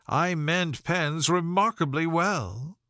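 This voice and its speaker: Man putting on a high, gushing young lady. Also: slow, sharp tone, no room sound, clean studio sound, heavily acted. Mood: gushing, flattering. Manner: effusive, fawning. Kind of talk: audiobook character voice.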